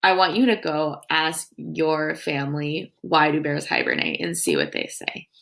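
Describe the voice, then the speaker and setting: A woman teacher with a creaky voice reading aloud to young children in an instructive way, with her own comments and questions. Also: sits low, lively intonation, measured pace, a bit bright.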